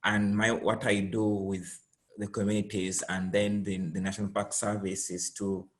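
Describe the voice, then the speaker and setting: A man's voice: on the low side, level tone, moderate pace. A man with a Ugandan accent speaking as a conservationist on an online panel, explaining his project. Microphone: video call.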